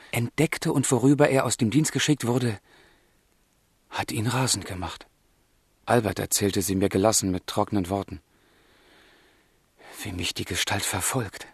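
An actor playing a passionate, despairing young man in a literary radio drama, with a husky voice and theatrical delivery. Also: lowish voice, dry recording, exaggerated voice. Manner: anguished, theatrical, swinging from hushed to impassioned.

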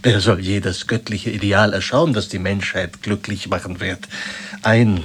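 An actor in a German radio drama playing an impassioned, tormented Romantic artist who declaims philosophical toasts, swinging between proclamation and hushed musing. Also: male voice, on the low side, fairly even intonation, a little slow, slight background noise. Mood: despairing, rapturous.

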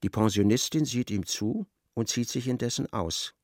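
A man's voice: medium pitch, expressive, normal speed, darkish tone, no room sound, studio quality, lightly acted. A man acting as the detached narrator of a grim literary radio drama, telling it in a matter-of-fact way.

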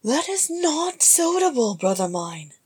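A woman voicing a sharp-tongued female dwarf, a young mother who teases dryly and bosses. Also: high-pitched, big pitch swings, a little slow, no room sound, pitched above the performer's own voice. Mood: teasing. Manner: dry, teasing, bossy.

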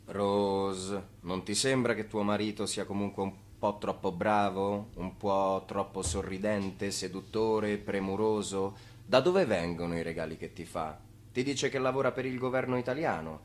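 An actor playing a skeptical narrator in a radio drama, addressing characters in an insinuating, questioning way. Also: male voice, fairly low pitch, unhurried, slight background noise, natural voice.

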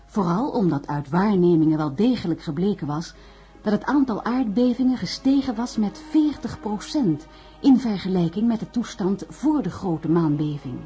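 A woman's voice: mid-range voice, animated, normal speed, dark tone, faint music behind, lightly acted. An actress playing an earnest, worried woman who narrates and speaks in a Dutch science-fiction radio drama. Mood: earnest, narrating. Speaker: narrator and lead character in a radio play.

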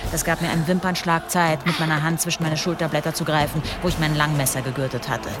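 A woman's voice: low voice, steady tone, quick pace, close to an everyday voice. An actress playing a battle-hardened medieval warrior woman, narrating her fights dramatically in an audio drama. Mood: grim, proud. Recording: music under the voice.

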